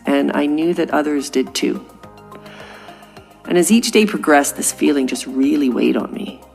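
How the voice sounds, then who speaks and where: Low-voiced Canadian woman with gravelly edge. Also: darkish tone. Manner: earnest, reflective storytelling. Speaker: podcast host. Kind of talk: scripted podcast monologue.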